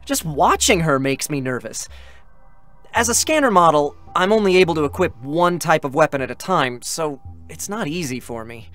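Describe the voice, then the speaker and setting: Boyish, expressive young android scout. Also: male voice, a little high, normal speed, soft background music. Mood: earnest, wistful. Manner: earnest, youthful, expressive. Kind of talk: dramatic concert reading of a video game.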